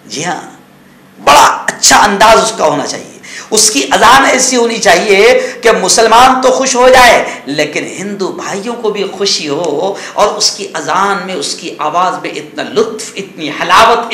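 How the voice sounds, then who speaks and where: High, bright-voiced male cleric, echoey. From India. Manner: exhortative, scolding, conversational with rhetorical questions and repetition. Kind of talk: informal sermon-like news commentary.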